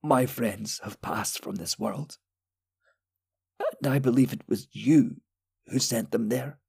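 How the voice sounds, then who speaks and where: A man voicing a frail old male wizard who is dying, speaking slowly with pain in his voice. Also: medium pitch, a little mellow, voice pushed up, full character voice. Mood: weary. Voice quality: frail, pained, gravelly. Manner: slow, solemn, pleading.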